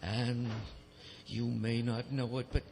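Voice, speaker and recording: A man's voice: medium pitch, little pitch movement, slow pace, vocal fry, darkish tone. A man playing a smarmy, pushy suitor with blustery melodramatic delivery in comic live audio theatre. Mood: wooing. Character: smarmy, pushy, taunting male suitor and rival.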